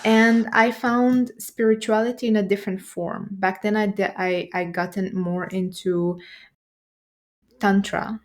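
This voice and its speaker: A woman's voice: medium pitch, fairly even intonation, unhurried. A woman from Romania with a Romanian accent, a nervous system coach speaking as a podcast guest in a reflective, hesitant way.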